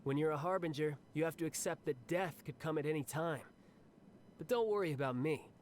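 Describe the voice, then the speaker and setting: An actor playing a cocky, cheerful young warrior officer in a video game, speaking in a breezy, confident, conversational way. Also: male voice, fairly high pitch, brisk, slight background noise, close to an everyday voice. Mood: frank, resolute. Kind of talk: scripted video game character monologue.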